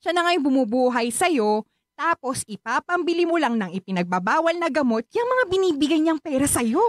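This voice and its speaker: High, reproachful Filipino aunt. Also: female voice, sing-song, a little fast, no room sound, clean studio sound. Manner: pleading, reproachful. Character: concerned aunt, indignant younger sister, protective. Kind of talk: Filipino radio drama acting.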